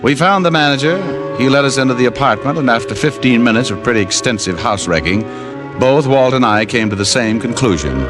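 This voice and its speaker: A man's voice: medium pitch, fairly quick, music in the background, close to an everyday voice. A man acting a laconic, world-weary American private detective in a 1950s radio drama. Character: laconic hardboiled male private detective narrating his case.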